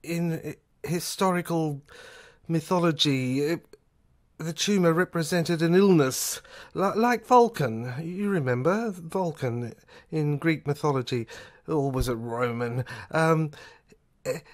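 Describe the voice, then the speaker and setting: Man acting a halting, irascible lecturing superintendent. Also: fairly high pitch. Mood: earnest, insistent. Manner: halting, rambling, scornful lecturing. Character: irascible lecturing male police superintendent, insulting, erudite. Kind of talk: amateur audio drama acting.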